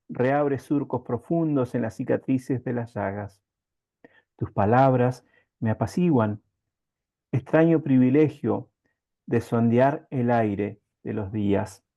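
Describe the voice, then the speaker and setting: Male interview host for formal introductions and readings, average pitch, muffled low-fidelity sound. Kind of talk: formal interview presentation with poetry recitation.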